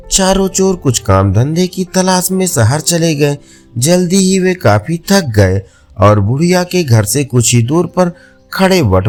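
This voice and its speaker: A man's voice: a little high, darkish tone. A man narrating a scripted folk tale as a storyteller.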